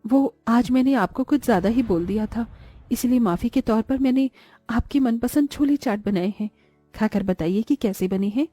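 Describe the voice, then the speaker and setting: A woman playing a greedy, envious, sharp-tongued young wife and mother in a Hindi cartoon moral story. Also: on the high side, moderate pace, dry recording, soft background music, lightly acted. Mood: coaxing.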